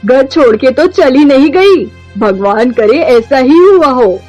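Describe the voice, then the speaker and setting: A woman's voice: high voice, animated, slow pace, a little mellow, muffled recording, faint music behind, full character voice. A woman voicing a scornful, short-tempered middle-aged mother-in-law, scolding and taunting theatrically in a melodramatic Hindi moral-story style. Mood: anxious, bitter.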